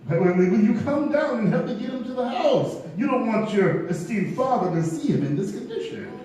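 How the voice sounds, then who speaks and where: An actor playing a suave, menacing gambler and killer with florid, mock-courteous speech in a live stage radio play. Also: male voice, extremely high pitch, big pitch swings, a little fast, a little mellow. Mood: oily politeness. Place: theater stage before an audience. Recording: echoey theater, distant mic, background noise.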